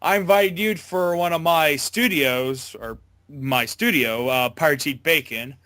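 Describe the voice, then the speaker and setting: A man with a bright, slightly high voice chats casually as an interview guest. He stammers and doubles back on his sentences. The sound is close and dry. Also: no echo. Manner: stammering, halting, backtracking. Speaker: interview guest, amateur voice actor. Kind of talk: casual chat.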